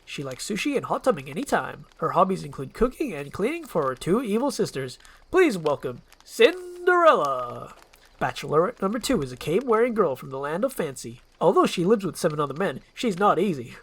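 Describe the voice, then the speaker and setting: A woman's voice: on the low side, sing-song, slightly rough, heavily acted. A woman voicing a magic mirror as a showy game-show announcer, drawing out grand introductions in a storybook roleplay. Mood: showy, excited. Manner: showy, grandly drawn-out presenting.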